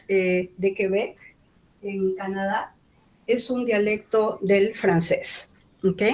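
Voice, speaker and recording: A woman's voice: mid-range voice, low-quality recording. A woman from Peru with a Lima accent, giving a university lecture in an explanatory way, often checking in with the audience through tag questions. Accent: Lima Spanish.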